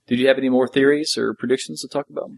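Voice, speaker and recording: A man's voice: medium pitch, steady tone, very fast, creaky, darkish tone, dry recording. A man hosting a podcast, talking casually as a fan discussing a story. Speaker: podcast host.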